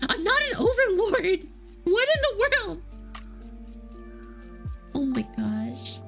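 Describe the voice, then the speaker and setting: A woman's voice: extremely high pitch, huge pitch swings, takes it slowly, vocal fry, a bit bright, faint music behind. A woman streaming as a VTuber, chatting casually and answering would-you-rather questions. She talks in a playful, giggly way and teases her chat.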